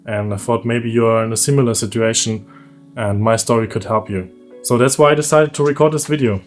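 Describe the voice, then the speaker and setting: A man in his thirties with a German accent, telling a candid personal story for his vlog in a relaxed, conversational way, often laughing as he goes. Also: mid-range voice, fairly quick, soft background music. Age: 30s. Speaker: vlogger.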